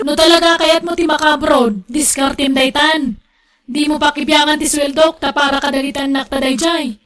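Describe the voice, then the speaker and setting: A woman's voice: sits high, fairly even intonation, moderate pace, fairly bright tone. A woman with a northern Philippine accent playing a firm, blunt working wife and mother in a radio drama. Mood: angry, scolding. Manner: blunt, scolding.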